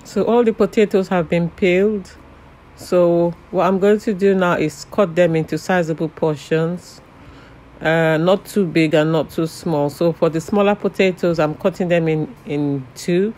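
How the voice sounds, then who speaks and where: Cooking host, man with a fairly high voice, relaxed and chatty, talks through each step with filler words. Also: a little hiss or hum. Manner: relaxed, chatty, frequent "you know" and "um" fillers. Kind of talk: casual step-by-step cooking narration.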